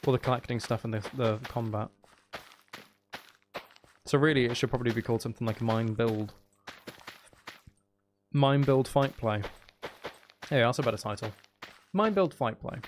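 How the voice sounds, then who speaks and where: A man's voice: medium pitch, normal speed, pauses often, slight background noise. A man streaming a video game, chatting casually in a rambling, hesitant way as he thinks aloud. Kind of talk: casual live-stream chat while playing.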